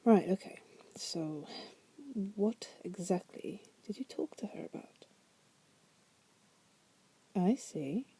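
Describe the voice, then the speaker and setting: A caged female demon, played by a woman in an ASMR roleplay. Her voice is very mellow and dark, with a husky breathiness that often slips into a whisper. She is teasing and condescending, a bored manipulator who coaxes and flirts. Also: on the low side, expressive, slow pace, long pauses, heavily acted, low-quality recording. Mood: wry, intrigued. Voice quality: soft, breathy. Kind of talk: ASMR roleplay monologue to the listener.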